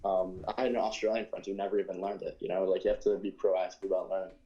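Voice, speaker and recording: Deep-voiced young man with a New York accent. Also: level tone, fast talker, dull audio. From United States. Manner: rambling, hesitant, lots of fillers and self-corrections. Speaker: podcast guest. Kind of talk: casual conversation.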